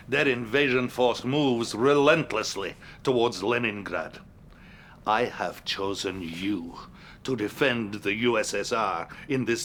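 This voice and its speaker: An actor playing a scheming, pompous Soviet leader in a video game cutscene, with a theatrical, deliberate delivery and a Russian accent. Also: male voice, mid-range voice, pushed and bright, a little hiss or hum. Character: grandiose, scheming Soviet leader addressing his commander.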